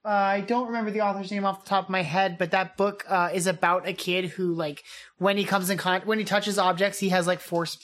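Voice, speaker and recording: A woman's voice: medium pitch, level tone, brisk. A woman co-hosting a podcast, chatting casually and enthusiastically. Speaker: podcast co-host. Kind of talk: casual chat.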